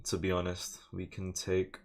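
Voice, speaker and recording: A man's voice: deep voice, monotone, normal speed, background noise. A man with an English accent, streaming a game casually, half thinking aloud to himself and half chatting to his viewers. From England. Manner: muses moves half to himself, chats to viewers. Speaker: live streamer playing online chess.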